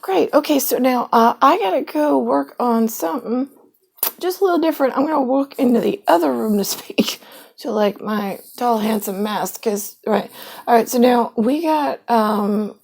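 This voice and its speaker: A woman's voice: on the high side, moderate pace, vocal fry, a little mellow. A woman in a rambling monologue, quoting her own conversations with sudden bursts.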